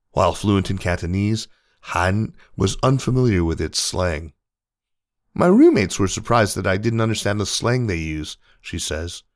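A man narrates a written news feature in a measured, even reading voice. His pitch sits very low, and the sound is dry and close, with no room around it. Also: no room sound. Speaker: article narrator.

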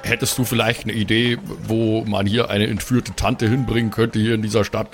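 A man's voice: on the low side, flat delivery, normal speed, natural voice. A man voicing a polite, earnest male adventurer who speaks in a hesitant, wordy way. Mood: polite, probing.

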